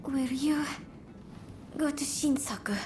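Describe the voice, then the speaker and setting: A woman voicing a gentle, worried young wife in samurai-era Japan for a video game, polite, soft-spoken and earnest. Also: high-pitched, slow, noisy background. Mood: anxious, grateful. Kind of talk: dramatic video game voice acting.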